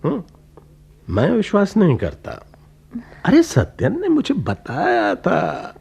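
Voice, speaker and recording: Husky, wildly swooping lecherous employer. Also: male voice, high voice, wildly swooping pitch, slow pace, darkish tone, exaggerated voice. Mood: sly coaxing. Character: lecherous, coaxing drunkard employer, a grown man. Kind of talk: Hindi radio play acting.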